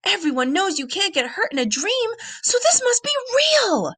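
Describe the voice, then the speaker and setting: A woman's voice: very high voice, big pitch swings, normal speed, fairly bright tone, dry recording, pitched above the performer's own voice, full character voice. A woman voicing a frightened, bewildered young schoolboy in a children's storybook, with anxious, breathless questions.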